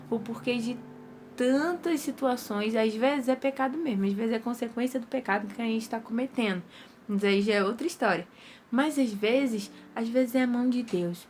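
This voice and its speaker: A woman's voice: on the high side, a little mellow, slight background noise. A young woman with a Brazilian Portuguese accent gives an earnest, casual devotional talk to her viewers, her nose stuffy from rhinitis. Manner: earnest, repetitive, conversational.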